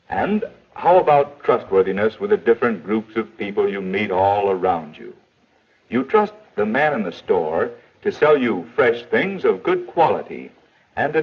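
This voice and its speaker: A husky patient dad. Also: male voice, on the low side, exaggerated voice. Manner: gentle, reassuring, lecturing. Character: patient father gently advising his son. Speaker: father. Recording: dull old film soundtrack.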